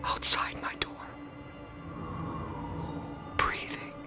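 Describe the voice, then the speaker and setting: An actor playing a haunted, anxious guest in a supernatural audio drama, speaking in halting, short phrases with a dramatic score behind him. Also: male voice, in a whisper, over music. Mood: tense dread. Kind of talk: first-person audio drama narration with dialogue.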